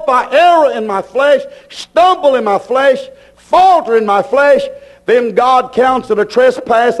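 A male preacher delivers a fervent sermon in a very high-pitched voice. His pitch moves widely as he declares each point emphatically. The delivery is slow and deliberate, with phrases repeated for weight.

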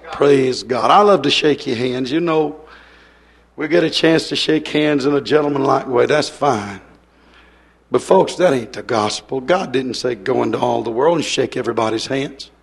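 A hoarse man preaching a fiery revival sermon, hollering and then dropping soft. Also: on the high side. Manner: hollering, swings from loud to soft.

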